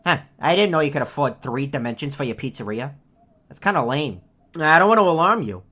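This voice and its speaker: Man voicing a snarky, deadpan game character. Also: a little high, fairly quick. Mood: bored sarcasm. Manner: sarcastic, deadpan, condescending. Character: snarky, bored, self-aware game character taunting player. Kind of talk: video game taunt lines.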